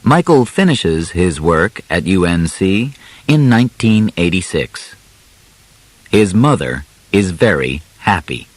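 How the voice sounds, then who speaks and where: A man narrating an audiobook from a simple script, deliberate and carefully enunciated, in short phrases with pauses between them. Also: low voice, big pitch swings, no room sound.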